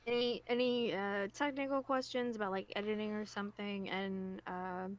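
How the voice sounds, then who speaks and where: Mid-pitched woman co-hosting a casual stream. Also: fairly even intonation, moderate pace, a little hiss or hum. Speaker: co-host reading out chat questions. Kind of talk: casual group chat on a livestream.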